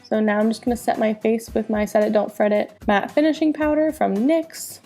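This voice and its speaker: A woman's voice: on the high side, steady tone, a little fast, dark tone, soft background music. A young woman with a nasal voice from a cold, chatting upbeat through a makeup tutorial as a beauty vlogger.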